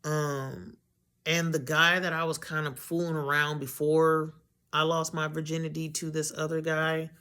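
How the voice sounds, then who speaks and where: A young woman with a deep voice, talking candidly to camera in a rambling way, often losing her train of thought and correcting herself. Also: flat delivery, measured pace, a bit bright. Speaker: vlogger. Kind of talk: candid personal talk to camera.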